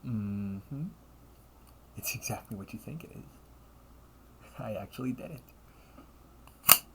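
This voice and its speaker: A man playing an earnest, weary time-traveling older brother turned villain in an amateur audio roleplay, speaking haltingly and often dropping to a breathy near-whisper. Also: fairly low pitch. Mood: proud, hushed. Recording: noisy amateur home recording.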